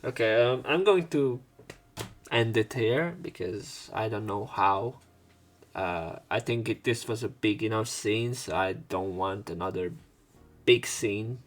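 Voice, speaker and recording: A man's voice: lowish voice, a little slow. A man doing a let's play, reading a visual novel's narration and dialogue aloud.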